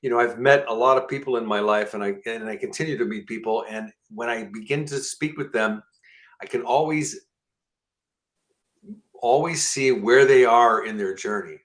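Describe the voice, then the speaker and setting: A man's voice: medium pitch, normal speed. Affable man in his sixties hosting. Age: 60s. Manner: affirming, rambling, fillers like "you know". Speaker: host. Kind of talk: casual interview chat.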